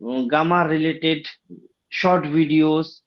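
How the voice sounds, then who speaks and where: A man with a Bengali accent, a native Bengali speaker practising English, talking haltingly with many pauses on a video call. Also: fairly high pitch, fairly even intonation. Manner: hesitant, many fillers and pauses. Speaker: English learner. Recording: dull lo-fi call audio.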